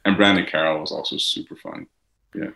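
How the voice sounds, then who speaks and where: A man's voice: deep voice, steady tone, fast, gravelly, sharp tone, reverberant. A man, a native Dutch speaker, talking casually as an actor being interviewed, rambling with many fillers.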